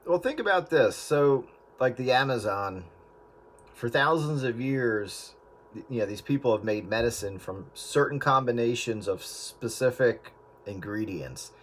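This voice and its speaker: A man's voice: medium pitch, expressive, measured pace. A man in a casual radio chat, joking and rambling with frequent restarts. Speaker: radio hobbyist on an on-air group chat. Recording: heavy static radio transmission.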